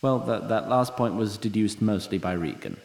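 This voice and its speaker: Wry, lofty narrator, echoing voice. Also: male voice, lowish voice, normal speed, creaky. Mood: measured, wry. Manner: droll, formal, arch. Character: wry, lofty immortal storyteller, haughty toward mortals. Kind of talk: scripted fantasy audio drama narration.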